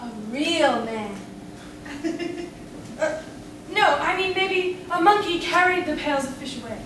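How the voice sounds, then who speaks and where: A woman playing a curious, excitable, girlish young castaway in a staged comic radio play. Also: very high-pitched, slow pace, heavily acted. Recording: very echoey room, distant mic, clear noise.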